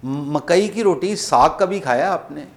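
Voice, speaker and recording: A man with a Pakistani accent making a prank phone call, rambling and repeating himself in deliberately confusing improvised banter. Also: on the high side. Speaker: prank caller hosting a comedy show.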